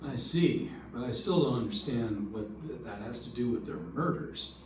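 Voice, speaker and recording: A man's voice: medium pitch, lively intonation, moderate pace, a little mellow, close to an everyday voice. A man playing a wry, skeptical hard-boiled investigator in an old-time radio detective drama. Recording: echoey room, distant mic, background noise.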